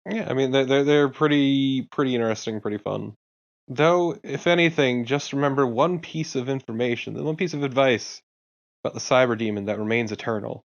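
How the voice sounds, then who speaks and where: A man's voice: mid-range voice, fairly quick, gravelly. A man co-commentating casually on video games, recorded with somewhat dull audio.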